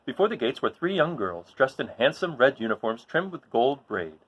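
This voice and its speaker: A man reading a children's story aloud in an expressive style, as the host of a read-along. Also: mid-range voice, moderate pace, highs cut off.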